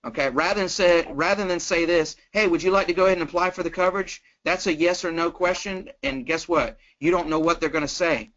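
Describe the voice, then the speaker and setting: Bright, forceful folksy salesman, dull call audio. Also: a little fast, few pauses. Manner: plain-spoken, folksy, acts out sample pitches. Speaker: guest sales trainer on a conference call. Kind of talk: casual sales coaching. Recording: dull, lo-fi conference call line.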